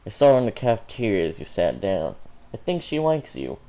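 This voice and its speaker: Man reading as a bossy, brisk teenage girl. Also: fairly low pitch, huge pitch swings, warm tone. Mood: brisk, urging. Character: bossy, insistent teenage girl pushing a friend.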